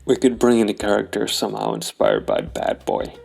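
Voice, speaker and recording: A man narrating a solo noir role-playing game as its host, telling the story in an unhurried, deliberate way. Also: on the low side, sing-song, creaky, darkish tone, soft background music.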